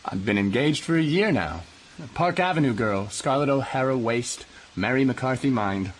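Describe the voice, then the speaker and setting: An actor playing an ambitious, cynical American politician in a radio drama, confident, glib and worldly. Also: male voice, mid-range voice, lively intonation, normal speed, lightly acted, low-quality recording. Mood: genial charm. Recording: faint background noise.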